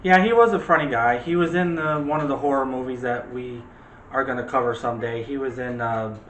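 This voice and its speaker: A man's voice: mid-range voice, a little fast, fairly bright tone, a little hiss or hum. A man hosting a movie discussion show in a casual, chatty and opinionated way. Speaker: host of a movie review show. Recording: echoey room.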